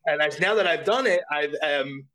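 A man's voice: on the high side, lively intonation, moderate pace, pushed and bright, low-quality recording. A middle-aged man as an interview guest, talking earnestly and self-deprecatingly with many restarts. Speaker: voice actor guest. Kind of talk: casual interview chat.

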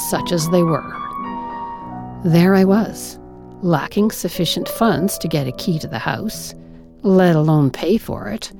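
A woman reading a first-person story aloud in an expressive, wry, dramatic way. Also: on the low side, creaky.

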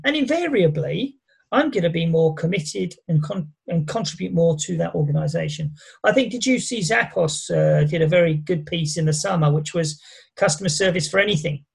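A man's voice: sits high, vocal fry, husky. A man leading a business discussion, talking in an enthusiastic, conversational way.

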